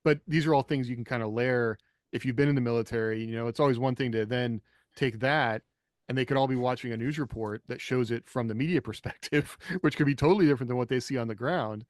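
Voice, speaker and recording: A man's voice: mid-range voice, no echo. Chuckling man chatting loosely, dry close sound. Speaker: podcast co-host and writer. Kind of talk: casual rambling panel discussion.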